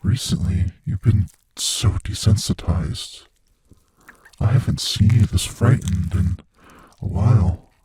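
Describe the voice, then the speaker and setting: Man voicing a husky, deep, sadistic monster. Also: mellow, full character voice. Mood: gloating, cruel amusement. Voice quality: breathy, husky whispery voice. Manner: slow, taunting, menacing, savoring words. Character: sadistic male monster from under the bed, gleefully cruel. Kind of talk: intimate ASMR audio roleplay.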